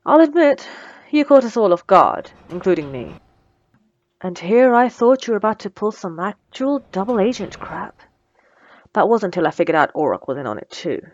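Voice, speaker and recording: A woman's voice: fairly low pitch, lo-fi sound. A woman playing a wry, menacing supervillain in a scripted audio roleplay, teasing and condescending, with cool threats. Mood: grudgingly impressed. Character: wry, menacing female supervillain mentoring a captive assistant.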